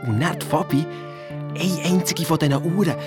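Woman acting a cheeky young boy detective, lowish voice, Swiss German accent, colloquial halting storyteller, children's radio play, music behind. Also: natural voice. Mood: animated. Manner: colloquial, halting excited retelling.

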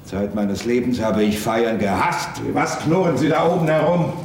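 Irritable theatrical grumbler played by a man, mid-pitched, very echoey stage recording. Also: moderate pace, a little mellow, a little hiss or hum, close to an everyday voice. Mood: irritated.

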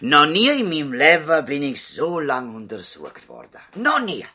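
Drowsy village patient, swooping delivery. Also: male voice, a little high, wildly swooping pitch, normal speed, sharp tone, breathy edge, exaggerated voice. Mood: earnest, impressed. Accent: Swiss German. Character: drowsy, naive, talkative male village patient. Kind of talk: 1970s radio play acting.

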